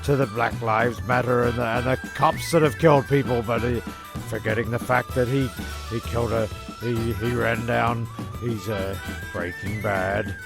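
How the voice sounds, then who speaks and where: Man, heavy vocal fry, sweary comedy narrator, halting and repetitive delivery, music playing behind. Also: mid-range voice, steady tone. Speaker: narrator and host of a comedy radio play. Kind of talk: sweary scripted comedy banter and recap.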